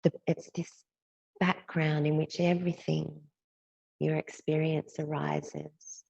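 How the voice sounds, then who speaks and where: Woman meditation guide, mellow dark tone, breathy, gentle, unhurried phrasing, long pauses, soothing. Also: sits low, flat delivery, dry recording, highs cut off. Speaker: yoga nidra meditation teacher.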